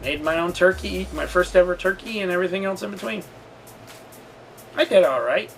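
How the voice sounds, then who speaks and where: A man with a notably high voice talks through a personal story in a casual vlog style. His delivery is halting and rambling, with a candid, confessional feel. Music plays faintly behind him. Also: creaky. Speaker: storytelling vlogger and channel host.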